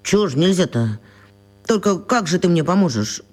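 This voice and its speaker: A woman's voice: fairly low pitch, sing-song, moderate pace, a little mellow. A woman voicing a plain-spoken, cheeky and slightly awkward young schoolboy in a children's radio play. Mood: hesitant, grumbling.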